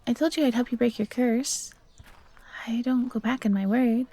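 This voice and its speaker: A woman voicing a young fox girl, timid but eager and grateful, soft and breathy, in a scripted audio roleplay. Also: fairly high pitch, moderate pace. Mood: grateful, earnest.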